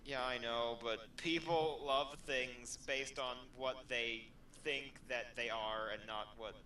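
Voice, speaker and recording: Male co-host, sharp bright tone, middling pitch, blunt sarcastic banter, mocking delivery. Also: fairly even intonation, slow, a little hiss or hum, poor audio. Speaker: co-host of a fan fiction reading show.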